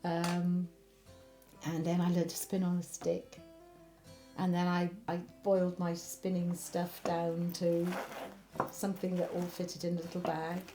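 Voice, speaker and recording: A woman's voice: on the low side, completely flat delivery. A woman, thoughtfully reminiscing in a documentary interview. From Wales. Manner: thoughtful, unhurried, warmly reminiscing. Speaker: interviewee, spinner and knitter. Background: background music.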